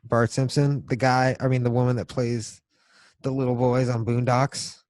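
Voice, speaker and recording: A man's voice: medium pitch, level tone, moderate pace, a little mellow, dry recording. A man co-hosting a podcast in casual, joking chat, slangy and swearing now and then. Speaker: podcast co-host.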